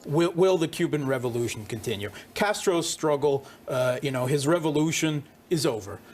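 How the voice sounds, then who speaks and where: A man's voice: fairly high pitch, lively intonation, normal speed, darkish tone, a little hiss or hum. A man commenting on a political panel as a guest, with a sardonic way of making his points.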